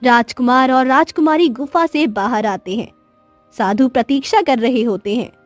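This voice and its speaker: Female storyteller for cartoon tales: high pitch, scripted narration, dry close sound. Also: normal speed, no room sound, soft background music.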